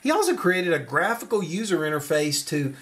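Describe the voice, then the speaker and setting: A man's voice: fairly high pitch, sing-song, brisk, a bit bright. A man giving an informal hands-on electronics demonstration, explaining as he goes and thinking aloud. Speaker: electronics tutorial presenter. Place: at a desk indoors.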